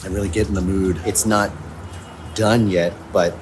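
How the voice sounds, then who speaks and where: A man speaking casually in a self-recorded video diary on location, thinking aloud in a halting way with repeated words. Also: deep voice, steady tone. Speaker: audio drama writer and producer. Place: coffee shops and outdoors, on location. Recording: noisy on-location recording with ambient sound.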